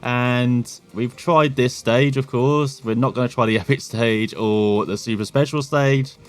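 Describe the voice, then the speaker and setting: A man's voice: mid-range voice, fairly even intonation, measured pace, faint music behind. Chatty English man commentating gameplay. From England. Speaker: gaming channel host.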